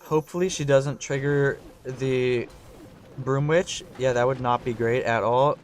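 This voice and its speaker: A slightly hoarse young man giving live commentary on a strategy game, talking through his thinking and getting excited on big plays. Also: medium pitch, a little slow. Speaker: gaming channel host.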